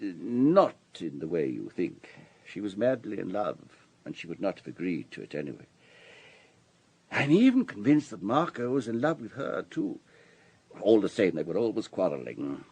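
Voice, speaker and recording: An actor playing a wealthy, urbane businessman in his sixties in a radio drama, husky and discursive, with a worldly, paternal manner. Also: lowish voice, huge pitch swings, moderate pace, a little mellow, full character voice. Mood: reflective, worldly. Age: 60s.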